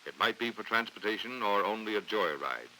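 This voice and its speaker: An American man reading scripted training film narration in a formal, authoritative, measured way on a vintage 1950s soundtrack. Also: on the low side, lively intonation, bright, forceful tone, slight background noise, low-quality recording. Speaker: documentary narrator.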